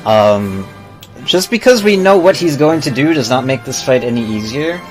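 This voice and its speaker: A man's voice: mid-range voice, lively intonation, moderate pace. A man giving casual, explanatory live commentary as he streams a video game speedrun. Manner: explanatory, self-deprecating. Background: faint game music.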